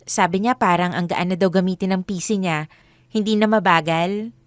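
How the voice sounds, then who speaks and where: A woman's voice: fairly low pitch, fairly even intonation, moderate pace, no room sound, natural voice. A woman playing a firm, loyal young girlfriend with a Filipino accent in a radio drama. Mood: casual, friendly.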